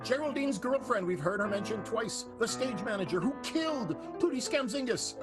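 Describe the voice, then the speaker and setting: A narrator presents a radio soap's cast playfully. The delivery is highly animated, and plucked-string music plays loudly underneath. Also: normal speed. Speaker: narrator, announcer. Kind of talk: playful radio show introductions.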